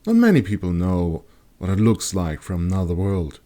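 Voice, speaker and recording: A man's voice: low voice, big pitch swings, normal speed, dark tone. A man voicing an observant woman traveler in a fantasy audiobook, wry and teasing in manner. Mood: surprised.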